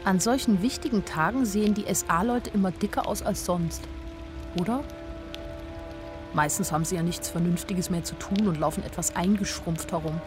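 Actress doing youngish woman narrator, lowish voice, first person radio play storytelling, bit of music behind. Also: normal speed, natural voice. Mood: wry, observant. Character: observant young woman narrating her life in Nazi Germany.

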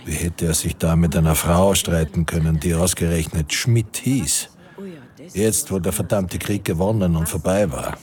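An actor as the sober narrator of a post-war story, giving literary scripted narration in a radio drama. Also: male voice, low voice, steady tone, moderate pace, darkish tone, lightly acted.

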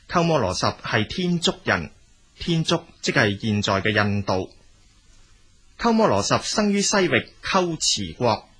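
A man with a Cantonese accent reading scripted documentary narration in a measured, explanatory storytelling manner. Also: medium pitch, a bit bright, husky. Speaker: narrator of a history and culture programme.

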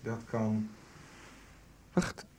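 A man's voice: on the low side, monotone, drawn-out words, a little mellow. An actor playing a boastful, flirtatious man in his twenties in a radio soap, stumbling a little over his words. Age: 20s. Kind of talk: 1990s Dutch radio soap dialogue.

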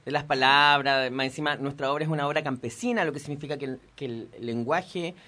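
A man's voice: medium pitch, steady tone, a little fast, sharp tone. A Chilean man, a theater actor guesting on the radio, giving thoughtful, rambling explanations. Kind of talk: radio interview.

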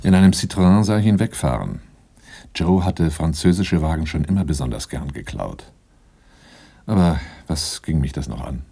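A man's voice: rumbling low, expressive, normal speed, creaky, dark tone, breathy edge, exaggerated voice. An actor playing a sober, dutiful bodyguard who tells his own story dryly in a radio crime play. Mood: resigned. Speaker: narrator. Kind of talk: first-person narration in a radio crime play.

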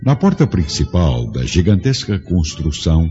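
Male narrator, very deep, dark, music underneath, dull sound. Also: sing-song, moderate pace. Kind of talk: scripted dramatic narration.

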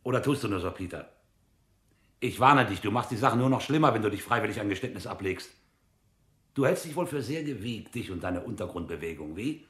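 A bullying secret police captain, radio play, low hard voice, really swoops around. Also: male voice, animated, a little fast. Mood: threatening. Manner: sharp, commanding, sneering. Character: menacing, commanding male secret police captain, mock-friendly bully. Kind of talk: radio drama acting.

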